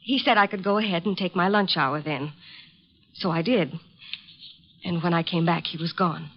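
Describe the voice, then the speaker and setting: An actress playing an anxious, polite young secretary with an American accent, hesitant and earnest, in a 1950s radio drama. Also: female voice, on the low side, moderate pace, a little hiss or hum, close to an everyday voice. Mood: worried. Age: young adult. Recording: dull, muffled vintage radio recording.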